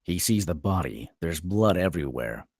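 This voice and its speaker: A man with an extremely deep voice narrates screenplay stage directions. His delivery is dramatic, with pitch that swings widely, and the sound is dry and close. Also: big pitch swings, normal speed, darkish tone, dry recording.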